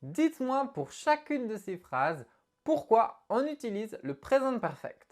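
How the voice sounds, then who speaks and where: A woman teaching an online English lesson in an animated, expressive way as she explains to viewers. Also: a little high, unhurried.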